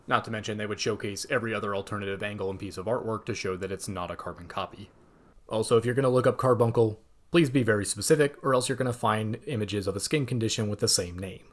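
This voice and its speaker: A young man reading a scripted video essay in a measured, explanatory way with dry asides. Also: fairly low pitch.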